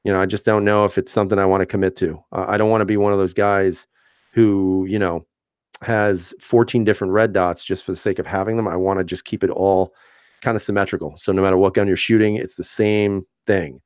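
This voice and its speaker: A man hosting a casual live stream, reading viewer comments aloud and answering in a relaxed, chatty way with frequent fillers and easy laughs. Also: low-pitched, brisk, clear, resonant tone, no room sound, studio quality. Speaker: channel host reading live chat.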